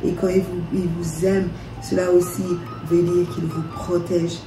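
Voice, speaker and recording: A woman's voice: lowish voice, level tone, measured pace. A woman from DR Congo, a minister, leading prayer with fervent, repetitive declarations. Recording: echoey room with soft music.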